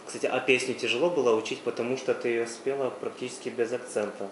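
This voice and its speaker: A woman interviewer with a very deep, low-pitched voice fires off quick questions in a brisk, businesslike way. She speaks fast, and the echoey room carries a faint hum of background noise. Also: fairly even intonation. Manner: brisk questions, often opening with "by the way".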